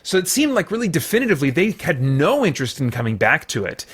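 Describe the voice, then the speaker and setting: Casting: American man, slightly high voice, hosting an off-the-cuff review, halting delivery that wanders as he thinks out loud. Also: big pitch swings, breathy edge. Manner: halting, rambling, thinking aloud. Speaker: review show host. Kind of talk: casual unscripted film review.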